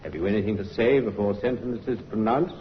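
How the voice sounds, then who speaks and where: An actor playing a stern, formal judge passing sentence in a 1950s radio drama, speaking in solemn, deliberate pronouncements. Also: male voice, on the low side, close to an everyday voice. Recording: dull vintage radio broadcast with faint hiss.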